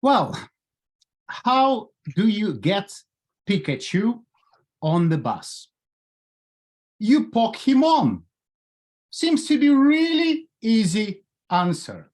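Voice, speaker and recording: A middle-aged man with a thick Ukrainian accent delivers a jokey speech over a video call. His pitch swings widely, he takes his time, and his voice has a slightly husky edge. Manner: jokey, lively storytelling with pauses. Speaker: club speaker. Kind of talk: humorous prepared speech.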